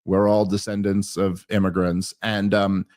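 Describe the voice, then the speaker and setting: A man's voice: low-pitched, level tone, measured pace, a little mellow. Exasperated American man, rambling podcast host. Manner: rambling, many restarts and fillers; sarcastic, exasperated. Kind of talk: casual political commentary.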